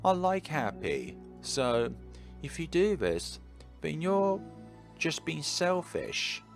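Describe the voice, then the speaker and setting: Man voicing a sensitive teenage girl, pitched up. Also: huge pitch swings, takes it slowly, creaky, faint music behind, exaggerated voice. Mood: upset, pleading. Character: Sayori, cheerful but troubled teenage girl, sensitive and evasive. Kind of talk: visual novel character read-aloud.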